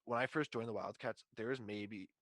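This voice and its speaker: A man's voice: fairly low pitch, normal speed, noisy background. A man in his twenties hosting a casual podcast chat, talking in slangy, profane bro-talk. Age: 20s. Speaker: podcast host.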